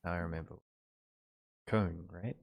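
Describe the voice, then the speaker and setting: A relaxed New man talking over a voice call, his voice sitting very low. The sound is dry and close, with no room around it. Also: slow, creaky, no echo. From New Zealand. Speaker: streamer chatting in a group call. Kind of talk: casual group chat.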